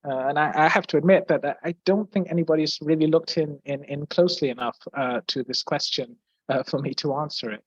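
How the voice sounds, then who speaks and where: A man's voice: a little high, steady tone, fairly quick, no room sound, highs cut off. Hesitant man with an English accent. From Brazil. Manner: hesitant, many fillers and restarts, clears throat often. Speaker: guest physicist. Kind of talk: explaining physics in a Q&A.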